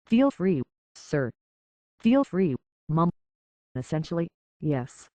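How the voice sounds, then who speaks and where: A man's voice: a little high, mellow, dry recording, highs cut off, close to an everyday voice. A prim British robot butler from a video game, acted by a man, speaks in a dry, close recording. He is formal and deferential, an anxious hotel concierge who minds every courtesy. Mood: courteous, formal. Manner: formal, deferential, fussily polite. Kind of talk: scripted video game character acting.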